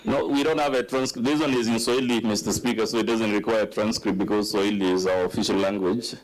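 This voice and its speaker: A Kenyan man acting as counsel, asking deliberate, leading questions at a formal hearing. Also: lowish voice, steady tone, rough recording. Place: legislative chamber hearing.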